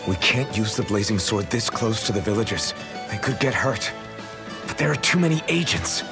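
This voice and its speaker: A man's voice: fairly low pitch, animated, moderate pace, music in the background. An actor playing an earnest, protective young rebel swordsman in a video game drama. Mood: alarmed, urgent.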